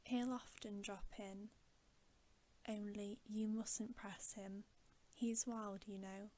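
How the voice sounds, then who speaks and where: A woman reading a bedtime story for ASMR in a soft, gentle, breathy voice that often drops to a whisper. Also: mid-range voice, little pitch movement. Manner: hushed, unhurried, gentle. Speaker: ASMR reader.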